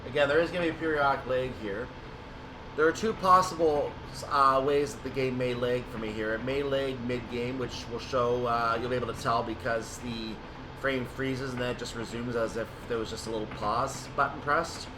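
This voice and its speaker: A man with a mid-pitched voice narrates a game in a casual, explanatory way. He thinks aloud as he plays. A clear layer of ambient noise and rumble sits behind his voice. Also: fairly even intonation, moderate pace. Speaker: gaming video creator narrating train simulator play. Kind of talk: casual gameplay commentary.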